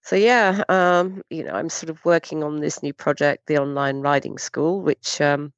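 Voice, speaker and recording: An English woman with a cold speaks in a croaky voice. Her answers come with frequent ums and pauses. The sound is dry and close with a dull, lo-fi edge. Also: deep voice, fairly even intonation, no room sound. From United Kingdom. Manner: hesitant, with many ums. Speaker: guest riding instructor. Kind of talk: interview. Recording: dull lo-fi audio.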